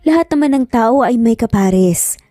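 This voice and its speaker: Lady doing gentle reassuring mom in a story, soft mellow high voice. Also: expressive, moderate pace, no room sound, clean studio sound, soft background music, close to an everyday voice. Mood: comforting. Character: gentle, comforting middle-aged mother.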